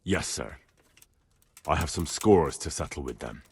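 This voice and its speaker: A man's voice: very deep voice, expressive, unhurried, gravelly, husky, full character voice. A man playing a dutiful, grim young medieval soldier in a video game cutscene. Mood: grimly resolved.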